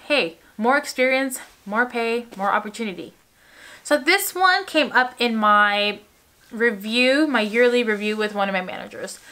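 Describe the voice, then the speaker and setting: A young woman nurse from Puerto Rico, chatting casually to camera in a quick, bubbly way with frequent fillers. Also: on the high side, sharp tone. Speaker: ICU nurse vlogger.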